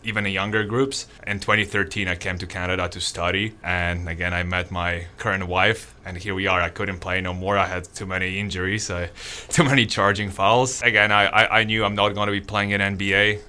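A man from Poland speaks in a very low voice with a bright, forceful edge. He is a basketball coach in his thirties, talking casually and loosely. He keeps restarting and leaning on fillers. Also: little pitch movement, a little fast. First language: Polish. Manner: rambling, many fillers and restarts. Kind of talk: casual interview answers.

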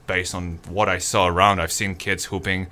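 A man from Poland speaks in a very low voice with a bright, forceful edge. He is a basketball coach in his thirties, talking casually and loosely. He keeps restarting and leaning on fillers. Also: flat delivery, moderate pace. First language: Polish. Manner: rambling, many fillers and restarts. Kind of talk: casual interview answers.

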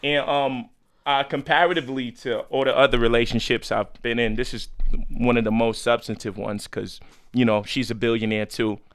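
A man's voice: medium pitch, steady tone, moderate pace, fairly bright tone. A man from New York, a comedian guest, joking in a casual, rambling way full of "you know". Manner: rambling, filler-heavy, laughs between jokes.

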